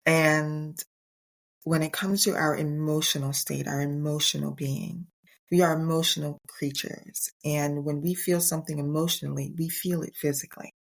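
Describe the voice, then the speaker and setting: A middle-aged woman hosting a motivational podcast on her own, speaking earnestly and emphatically in short, deliberate phrases. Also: deep voice, fairly even intonation, creaky. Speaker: podcast host. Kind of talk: motivational solo podcast talk.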